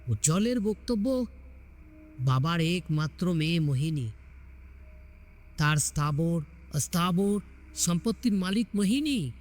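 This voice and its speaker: A male storyteller reads a ghost story with dramatic, scripted delivery. His voice sits a little higher than most men's. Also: darkish tone. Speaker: storyteller narrating a horror story.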